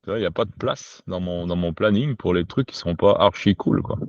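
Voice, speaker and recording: Podcast guest: man from Quebec, very low voice, slangy and spontaneous, muffled lo-fi sound. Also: brisk, no room sound. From Quebec, Canada. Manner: informal, slangy, swears casually. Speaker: podcast guest, survival instructor. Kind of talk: spontaneous casual conversation. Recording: dull lo-fi home recording.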